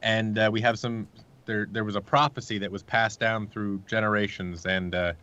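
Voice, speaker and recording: A man talking casually and a little haltingly as an interview guest, pitching an audio drama he helped create. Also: fairly even intonation, sharp tone, highs cut off.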